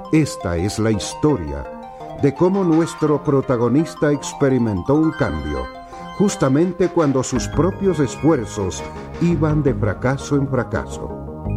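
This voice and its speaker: Slow, solemn male narrator. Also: mid-range voice. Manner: measured, solemn. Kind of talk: scripted narration.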